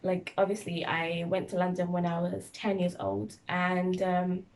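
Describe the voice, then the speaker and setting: A young woman from Mauritius chatting casually with her husband, teasing and animated as she tells their story. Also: fairly low pitch, unhurried. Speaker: vlogger. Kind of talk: casual chat.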